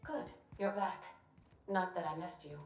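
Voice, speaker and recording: A woman voicing a prim ship computer in a video game, formal and deadpan, coolly denying any feelings. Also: on the low side, a little slow, reverberant, soft background music. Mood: cool, dry.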